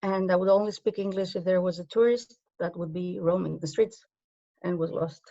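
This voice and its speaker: A woman's voice: lowish voice, steady tone, normal speed, warm tone, no echo, lo-fi sound. A woman from Greece, a native Greek speaker, giving a conference talk in a conversational way, with frequent ums and self-corrections.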